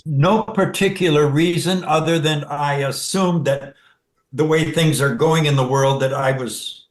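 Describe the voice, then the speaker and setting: A man's voice: on the high side, fairly even intonation, unhurried, roomy sound. A man calling in to ask a question, wry and chuckling as he talks. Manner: wry, laughs while asking. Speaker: caller asking a question. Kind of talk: casual chat.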